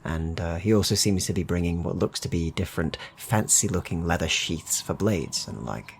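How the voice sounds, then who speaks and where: A man playing a chatty travelling cloth merchant in a tabletop roleplay, halting and polite as he talks. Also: low-pitched, gravelly, a little hiss or hum. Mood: eager, hesitant.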